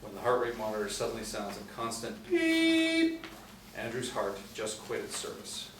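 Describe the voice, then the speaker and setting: A man's voice: medium pitch, sing-song, moderate pace, a bit bright. A man in his forties reading screenplay stage directions as scripted narration. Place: small indoor room, seated. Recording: roomy, distant mic, faint hiss.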